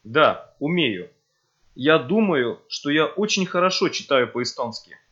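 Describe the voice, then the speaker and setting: A man with a husky voice reading scripted textbook dialogues for a language course, speaking slowly and carefully. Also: a little high, animated, fairly bright tone. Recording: dull lo-fi audio.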